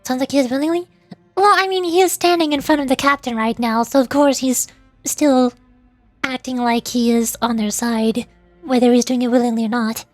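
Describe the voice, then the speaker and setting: High-voiced woman, casual game streamer. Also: steady tone, normal speed, dry recording, soft background music. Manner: rambling, chatting to viewers. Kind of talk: casual livestream commentary.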